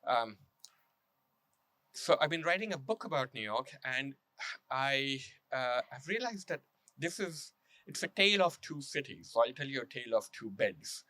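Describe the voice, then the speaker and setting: A man with a bright, crisp-edged, mid-pitched voice gives a public talk. He tells his stories like a writer, measured and emphatic, building with deliberate repetition. The sound is close and dry. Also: expressive, dry recording. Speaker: author and journalist speaking at a public reading. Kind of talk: public talk with prepared storytelling and read testimony.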